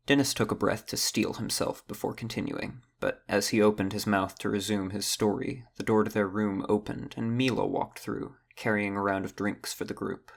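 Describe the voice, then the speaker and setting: Very gravelly low-voiced male narrator. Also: steady tone, normal speed, hardly any pauses. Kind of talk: scripted narration of a fantasy audio drama.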